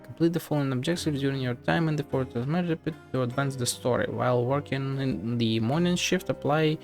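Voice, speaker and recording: A man streams a game with casual, blunt commentary over soft game music. His voice has a lot of fry, and he mutters to himself, swears and reads text off the screen. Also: medium pitch, brisk.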